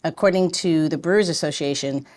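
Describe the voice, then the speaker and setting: A woman's voice: deep voice, fairly quick, darkish tone. A woman hosting a podcast, introducing the topic and guest in a chatty, conversational style. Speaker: podcast host.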